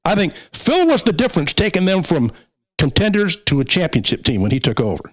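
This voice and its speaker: A man hosting a podcast, talking casually about sports in a conversational, halting way as he works through a list. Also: on the high side, sing-song. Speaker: podcast host.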